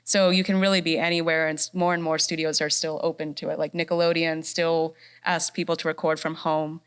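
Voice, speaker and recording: A woman's voice: sits low, little pitch movement, brisk, clear, resonant tone. A woman from North Carolina speaking as a voice actress on a festival panel, bubbly and upbeat. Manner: bubbly and upbeat, laughs often. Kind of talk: casual panel talk. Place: fine arts center stage.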